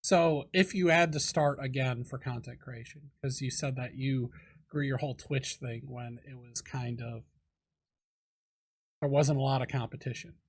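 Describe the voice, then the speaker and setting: A man with a husky, slightly breathy voice hosts a relaxed podcast chat. He speaks at a middling pitch, easygoing, and nods along with quick affirmations. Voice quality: lower voice. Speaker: podcast host. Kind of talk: casual podcast interview.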